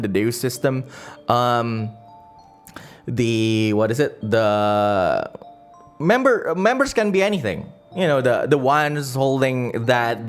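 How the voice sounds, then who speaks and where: A man hosting a livestream in casual chat, halting and rambling as he searches for words, with as his language. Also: fairly low pitch. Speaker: livestream host. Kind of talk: casual livestream chat, reading donations and comments. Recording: music playing behind the voice. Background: background music.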